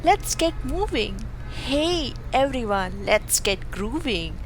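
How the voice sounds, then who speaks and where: A woman's voice: fairly high pitch, very animated, slow pace. A woman reads a rhyming picture book aloud for preschoolers in a theatrical, exclamatory, rhythmic way, with music behind her. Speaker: children's book reader.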